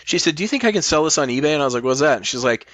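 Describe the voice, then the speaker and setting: A man's voice: a little high, expressive, very fast, fairly bright tone, no room sound. A middle-aged man chatting casually as a podcast guest, blunt and full of swearing. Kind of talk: casual chat.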